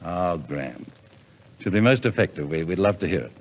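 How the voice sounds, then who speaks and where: A man's voice: sits low, big pitch swings, normal speed, long reverb tail. A man hosting a live 1950s radio variety show with effusive showmanship, on an old broadcast recording. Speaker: radio host and compere. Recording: dull 1950s radio recording, music and crowd.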